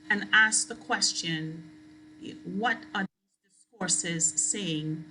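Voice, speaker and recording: A woman from Trinidad and Tobago, giving an academic lecture as a guest speaker in a deliberate, emphatic way. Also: medium pitch, pushed and bright, a little hiss or hum, low-quality recording.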